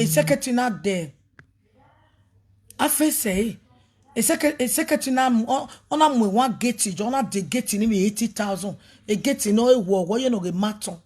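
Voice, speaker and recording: A woman with a Nigerian accent, talking emphatically as a prophetess on a live video, swinging between loud outbursts and a lowered voice. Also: slightly rough. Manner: emphatic, switches between pidgin and a local language.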